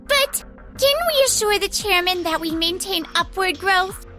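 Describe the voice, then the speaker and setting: A woman's voice: extremely high pitch, lively intonation, unhurried, bright, forceful tone, soft background music, heavily acted. A woman playing an earnest, outspoken young female office worker in an anime-style manga dub. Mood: insistent.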